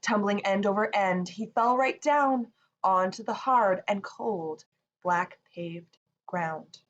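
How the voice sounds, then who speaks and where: A woman reading a rhyming children's picture book aloud in an expressive storytelling manner. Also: mid-range voice, slow, muffled recording. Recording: faint background noise.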